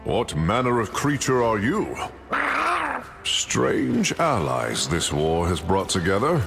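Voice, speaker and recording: An actor voicing a boisterous, proud Norse thunder god with grand archaic phrasing for a video game. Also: male voice, low voice, sing-song, slow pace, full character voice. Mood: grave, lofty. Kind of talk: video game hero voice lines. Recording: long reverb, music behind.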